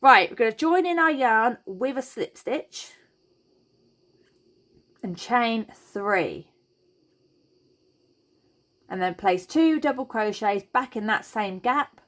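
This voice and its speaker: A woman hosting a crochet tutorial, chatty and reassuring as she talks through each step, her voice a little croaky. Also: on the high side, lively intonation, slow pace, long pauses, a bit bright. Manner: chatty and reassuring, thinks aloud while working. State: slightly croaky voice.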